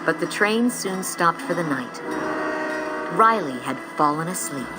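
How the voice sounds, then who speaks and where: A woman reading scripted storybook narration in an expressive, measured storytelling style. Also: on the low side. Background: music.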